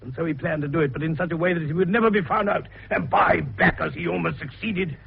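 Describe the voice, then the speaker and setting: An actor playing an older, eccentric male doctor sleuth in a radio detective drama, with a gravelly voice and an avuncular, theatrical way of explaining. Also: on the high side, quick pace, slight background noise. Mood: emphatic, revelatory. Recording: dull, muffled radio recording.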